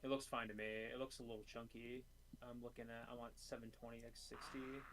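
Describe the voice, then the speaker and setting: A man's voice: medium pitch, little pitch movement, quick pace, fairly bright tone. A young man chatting casually with a friend while playing a card game, offhand and dry, muttering his moves to himself. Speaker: streamer's friend. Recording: noisy background.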